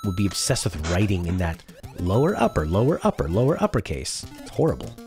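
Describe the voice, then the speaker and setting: A hoarse man hosting a game stream, chatting casually and joking with his co-host and the chat. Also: sits low, huge pitch swings, fairly quick, darkish tone, soft background music. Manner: joking, rambling, talks to chat. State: hoarse from a strained voice. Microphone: desk streaming mic.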